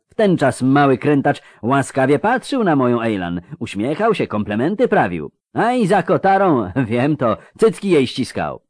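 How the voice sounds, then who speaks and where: Booming bass lord played by a man, aggrieved, power-hungry, emphatic, rumbling, storybook character. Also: darkish tone, no echo, studio quality, close to an everyday voice. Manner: bellowing, emphatic.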